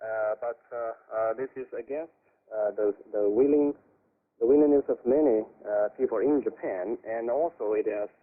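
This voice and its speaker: A man speaking as an academic guest in a radio discussion, giving measured, halting analysis over a shortwave broadcast. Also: fairly low pitch, phone-quality sound.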